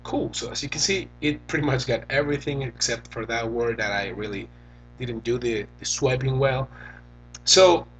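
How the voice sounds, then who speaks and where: A young man from Venezuela with a Venezuelan Spanish accent, a native Spanish speaker, talking casually and haltingly as he demos and reviews a phone keyboard. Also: mid-range voice, fairly bright tone, slightly rough, poor audio. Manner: slow, halting, thinks aloud while typing. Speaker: tech reviewer.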